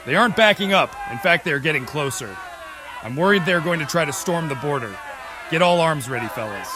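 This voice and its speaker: A man's voice: fairly high pitch, expressive, fairly quick, natural voice. A man playing an anxious, commanding border officer in a dramatised emergency scenario, barking orders. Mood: worried, urgent. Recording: echoing, reverberant space with crowd noise.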